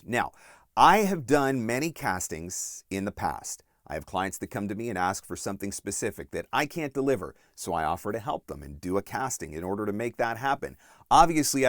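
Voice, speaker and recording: A man giving instructional tips as a voice-over coach, speaking directly and emphatically with deliberate stress on key points, close on the mic. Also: on the low side, no echo. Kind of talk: instructional video talk to camera, numbered tips.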